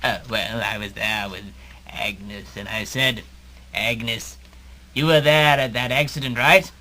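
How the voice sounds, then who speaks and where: A man's voice: mid-range voice, fairly even intonation, slow, very noisy, close to an everyday voice. A man playing a bumbling, forgetful old supervillain who stammers and loses his thread in a comic superhero audio drama. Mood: gleeful. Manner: stammering, muddled, easily distracted.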